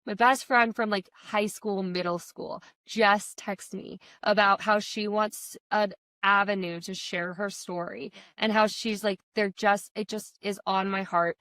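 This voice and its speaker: A woman in her thirties co-hosting a casual podcast among friends, chatty and quick, laughing often. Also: mid-range voice, steady tone, a bit bright, dry recording. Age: 30s. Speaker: podcast co-host.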